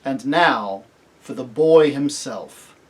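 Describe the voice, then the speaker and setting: Male reader as kingly talking lion, storybook, grave, commanding, formal, unhurried delivery. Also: medium pitch, lively intonation, rough recording. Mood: solemn, granting. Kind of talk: storybook character reading.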